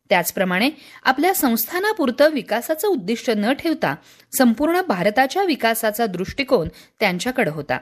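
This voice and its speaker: Lively, higher-pitched woman reading radio news. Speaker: newsreel presenter. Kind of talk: scripted radio news narration.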